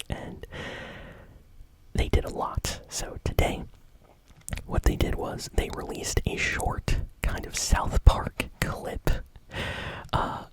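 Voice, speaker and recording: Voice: extremely high pitch, poor audio. A host whispering informal, enthusiastic video game news in an ASMR style. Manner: whispering throughout. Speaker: host of a gaming news show.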